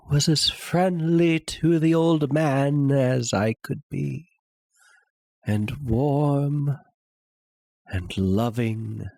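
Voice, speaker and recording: A man voicing an obsessed madman who insists he is sane, in a theatrical, halting dramatic horror reading with a breathy, husky voice. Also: mid-range voice, a little mellow, no room sound, pitched above the performer's own voice. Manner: halting, theatrical, with long pauses and sudden swells. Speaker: narrator.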